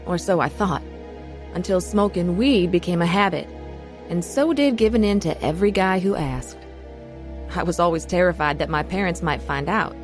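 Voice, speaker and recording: A woman's voice: medium pitch, close to an everyday voice. An actress playing a reflective young woman who narrates her troubled youth in a confessional radio drama. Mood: regretful. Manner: earnest, confessional. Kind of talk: scripted first-person radio drama narration.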